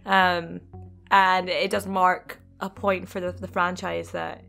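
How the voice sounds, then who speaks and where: A woman's voice: fairly low pitch, flat delivery, takes it slowly, creaky, fairly bright tone. Young woman losing her voice. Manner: thoughtful, hesitant, laughs often. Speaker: gaming channel co-host. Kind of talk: casual gaming discussion. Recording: faint background music.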